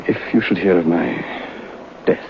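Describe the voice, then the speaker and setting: A ruined gentleman master, gloomy and reckless, speaks in a low, brooding theatrical voice. The sound is a muffled vintage radio recording with clear background noise. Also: measured pace. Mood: despairing. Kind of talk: old-time radio drama acting.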